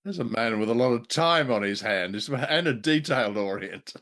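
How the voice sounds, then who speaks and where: A man's voice: medium pitch, animated, a little fast, a bit bright. A man co-hosting a radio show in casual chat, jokey and wry, with a rambling, hesitant delivery. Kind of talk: casual chat about old radio drama.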